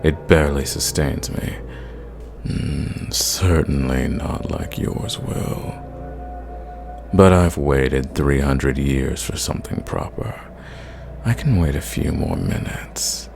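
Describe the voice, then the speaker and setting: A man playing an ancient, sadistic, condescending vampire in an ASMR roleplay, speaking in a theatrical, measured way and drawing out his words. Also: rumbling low, creaky, background noise, full character voice. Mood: hungry, dominant.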